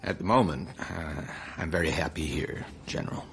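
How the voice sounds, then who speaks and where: An actor playing a dry, deferential American intelligence officer in a radio drama, understated, wry and a little hesitant. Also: male voice, extremely low pitch, moderate pace, creaky, a little hiss or hum. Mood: polite, wry.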